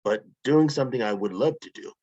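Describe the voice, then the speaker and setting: A man's voice: mid-range voice, a little fast, mellow, close to an everyday voice. A man reading a frightened Colombian cop who speaks blunt, broken English. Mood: incredulous. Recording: dull lo-fi, husky audio.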